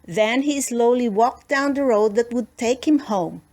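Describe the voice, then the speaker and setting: A woman narrating a children's storybook as an expressive read-aloud. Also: a little high, measured pace.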